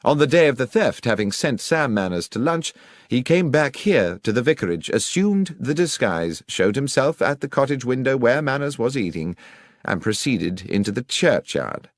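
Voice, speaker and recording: Confident male detective , acted by a male reader, audiobook mystery. Declamatory, precise, very lively pitch, dry close recording. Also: moderate pace, no echo. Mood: confident, accusing. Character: confident, theatrical male detective explaining his deductions.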